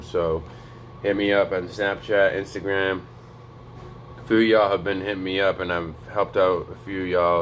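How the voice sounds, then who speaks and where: A young man with a very deep, flat voice, keeping it hushed and monotone as he gives a casual, profane pep talk. He sounds streetwise and easygoing, and a faint hum sits behind him. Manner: low, quiet monotone to avoid waking a neighbor. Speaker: vlogger. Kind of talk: motivational vlog talk. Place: home in North Dakota.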